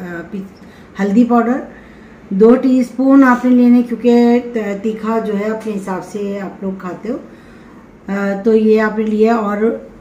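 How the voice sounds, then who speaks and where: A woman's voice: mid-range voice, dark tone, dull audio. A middle-aged woman hosting a home cooking demonstration, giving casual, chatty recipe instructions in a kitchen. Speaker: home cooking show host. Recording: roomy kitchen echo, background noise.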